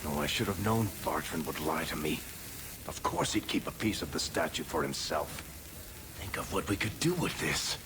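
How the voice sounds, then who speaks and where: A man's voice: rumbling low, lively intonation, moderate pace, background noise. A man voicing a wry, loyal dwarf rogue and storyteller in a video game cutscene, with a dry, sardonic manner. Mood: urgent, driven.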